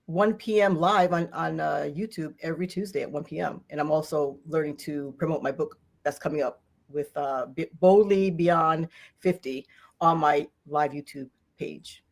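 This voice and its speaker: A woman's voice: deep voice, fairly even intonation, normal speed, creaky. A woman in her sixties, a guest author, chatting in a friendly, enthusiastic way on a live stream. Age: 60s. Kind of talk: casual live-stream chat.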